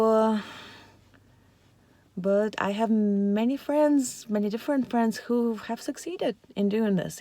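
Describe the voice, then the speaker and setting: Mellow-voiced woman. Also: medium pitch, creaky, no room sound. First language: Russian and Ukrainian. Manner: chatty, unscripted, lots of fillers. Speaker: parenting channel host. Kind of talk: casual talk to camera.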